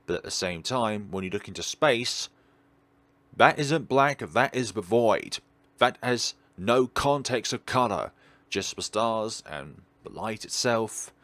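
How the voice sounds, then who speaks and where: Male, very low pitch, animated melody, musing let's play host, digressive delivery. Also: slow, no echo. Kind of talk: reading a visual novel aloud with rambling off-script commentary.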